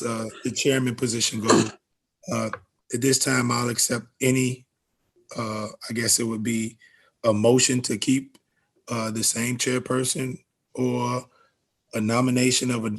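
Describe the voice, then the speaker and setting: A man with a medium-pitched, rather flat voice chairs a formal meeting on a video call. He moves through procedure haltingly, with pauses as he picks his words. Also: breathy edge, rough recording. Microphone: video call microphone.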